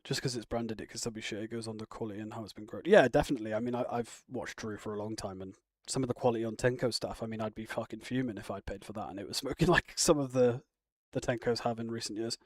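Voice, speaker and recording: A man's voice: lowish voice, fast talker, hardly any pauses, dry recording, studio quality. A man chatting casually on a live stream, rambling and answering viewer comments. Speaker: live streamer reacting to chat. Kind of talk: casual chat.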